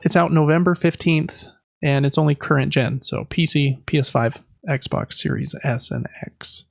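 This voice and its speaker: A man's voice: a little high, creaky, no echo, dull audio. A man hosting a podcast, chatting casually and informally through gaming news. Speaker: podcast host. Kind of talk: casual video game news talk.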